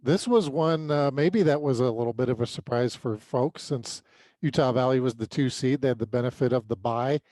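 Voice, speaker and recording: A man's voice: mid-range voice, normal speed, mellow, dry recording. Man recapping games, dry close sound. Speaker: sports podcast co-host and analyst. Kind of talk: unscripted sports recap commentary.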